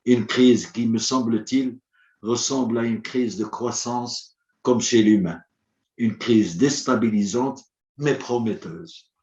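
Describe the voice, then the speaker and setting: Male conference speaker, first language Arabic; formal, measured, rhetorical; mid-pitched voice in a roomy space, dull lo-fi sound. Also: darkish tone. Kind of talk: formal prepared address.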